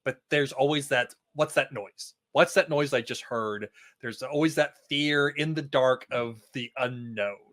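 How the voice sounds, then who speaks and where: A man's voice: mid-range voice, unhurried, hardly any pauses, fairly bright tone. A man speaking as a podcast guest in casual, conversational chat about a TV show.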